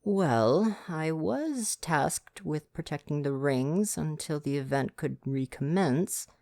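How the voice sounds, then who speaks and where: A man's voice: fairly high pitch, lively intonation, slow pace, gravelly, darkish tone, no room sound, studio quality, heavily acted. A man playing a prim, devoted royal butler with formal, fretful diction in an ASMR roleplay. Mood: reluctant, fond.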